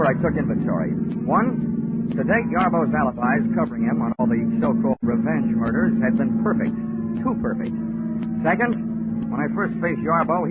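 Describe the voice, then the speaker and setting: A man's voice: medium pitch, close to an everyday voice. An actor playing a wisecracking, hard-boiled male insurance investigator, sardonic and tough, in 1940s radio noir style. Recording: tinny 1940s radio recording.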